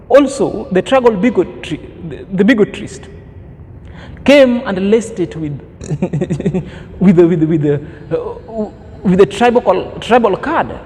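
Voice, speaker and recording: Man, 30s, high-pitched vlogger with a preachy, emphatic delivery and lofty vocabulary, recorded in a reverberant room with a low rumble. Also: darkish tone. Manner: preachy, emphatic, fond of grand words. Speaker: commentary vlogger. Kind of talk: opinion commentary monologue.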